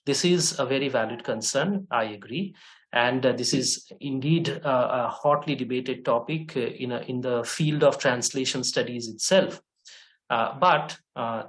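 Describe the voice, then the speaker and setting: Hesitant man explaining, lots of pauses. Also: mid-range voice, rough recording. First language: not English. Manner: hesitant, many fillers and restarts. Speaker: course instructor. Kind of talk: online course discussion.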